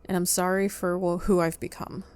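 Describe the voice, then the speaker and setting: A woman's voice: on the low side, level tone, normal speed, darkish tone, faint music behind. A woman playing a visual novel and reading its lines aloud dramatically, as in a playthrough. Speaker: game player reading aloud.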